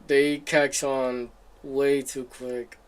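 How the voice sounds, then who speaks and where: A man's voice: mid-range voice, flat delivery, very slow, clean tone. A man doing casual commentary as he streams a game playthrough.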